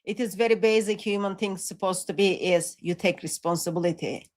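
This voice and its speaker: A woman's voice: on the low side, brisk, darkish tone. A woman co-hosting a live stream who talks bluntly and teases. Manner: blunt and teasing. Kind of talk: casual live-stream chat.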